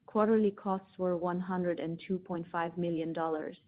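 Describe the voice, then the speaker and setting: A woman's voice: lowish voice, steady tone, normal speed. A woman speaking as a finance executive, reading a scripted presentation of financial results in a formal manner. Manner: formal, read-out delivery. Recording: tinny phone-line conference call audio.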